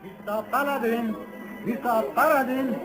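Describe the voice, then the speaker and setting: A man's voice: very high voice, normal speed, fairly bright tone, soft background music, rough recording. A man playing an eager, deferential Chinese hotel servant with a put-on Chinese accent and broken English in a 1950s radio western. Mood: eager, flustered. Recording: old radio recording with crowd din.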